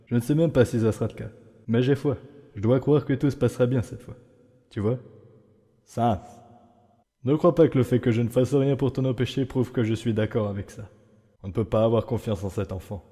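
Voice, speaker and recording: Casting: man as laconic, world-weary skeleton, solemn and guarded, mid-pitched, deeply mellow tone, comic dub. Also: steady tone, fairly quick, close to an everyday voice. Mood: wary, resolute. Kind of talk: fan comic dub acting. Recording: long hall reverb, music underneath.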